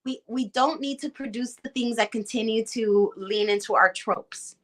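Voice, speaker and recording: Passionate bright-voiced woman with Chicago Puerto Rican accent. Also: fairly high pitch, moderate pace. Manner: passionate, emphatic, acts out quoted reactions. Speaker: actress guest. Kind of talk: casual conversation.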